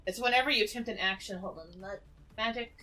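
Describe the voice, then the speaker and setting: Bright clear-cut woman's voice, casual rpg player describing what her character does. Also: medium pitch, fast talker, soft background music. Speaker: player in a tabletop role-playing game.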